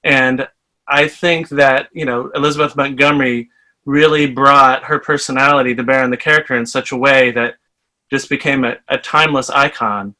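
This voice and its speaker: A man from Iowa talks at length and with enthusiasm, laughing a little as he goes. His voice is bright and forward, at a middle pitch. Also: steady tone, normal speed, rough recording. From Iowa, USA. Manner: chatty, enthusiastic, laughs while talking. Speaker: fan guest. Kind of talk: casual self-introduction.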